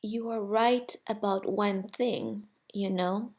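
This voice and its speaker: Woman as a slow, grave, gentle friendly spirit. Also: medium pitch, fairly even intonation, close to an everyday voice. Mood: grave, quiet. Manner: quiet, solemn, deliberate.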